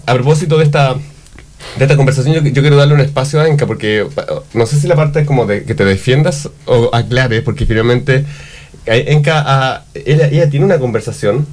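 A man's voice: mid-range voice, a little mellow. Chilean man hosting a reflective chat. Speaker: talk show host. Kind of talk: casual reflective discussion.

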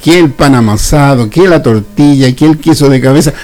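A man's voice: medium pitch, moderate pace, dark tone, soft background music. A man with a Chilean accent hosting a casual interview in a folksy, familiar, colloquial way. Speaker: radio host.

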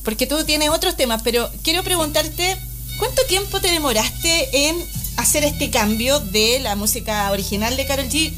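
Brief: woman, radio host, very high voice, chatty interviewer, faint music bed. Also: expressive, normal speed. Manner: chatty, interjecting, warm encouraging questions.

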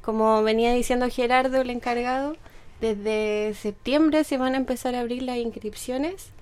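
A young woman with a Chilean Spanish accent, a sports instructor answering interview questions in a measured, friendly way. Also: on the high side, fairly even intonation, a little mellow, smooth.

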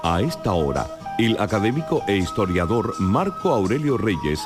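A man's voice: fairly low pitch, sing-song, moderate pace. A man narrating a scripted station promo in a solemn, declaiming way. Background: loud music.

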